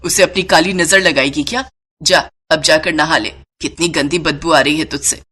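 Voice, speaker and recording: A woman's voice: deep voice, fairly even intonation, normal speed, bright, forceful tone, slightly rough, heavily acted. A woman as a scolding older mother-in-law. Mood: scornful. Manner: scolding, taunting. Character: stern, scolding older Indian mother-in-law, scornful and bossy.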